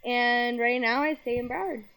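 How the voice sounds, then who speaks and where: A woman's voice: fairly high pitch, steady tone, moderate pace, fairly bright tone. A young woman from Colombia raised in New York, talking candidly in a casual interview, often laughing and swearing freely. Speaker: interview guest, music figure.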